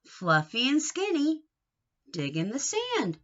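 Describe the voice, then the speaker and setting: A woman reading a picture book aloud to young children in an expressive, sing-song way, coaxing them with questions. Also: high voice, slow pace, dull audio.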